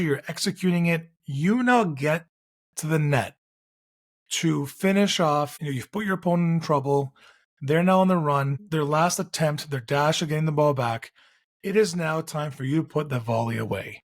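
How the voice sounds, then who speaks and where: Male podcast host, from Canada, chatty storyteller, a little higher-pitched. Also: moderate pace. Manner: chatty storyteller, frequent restarts. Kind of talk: casual interview chat.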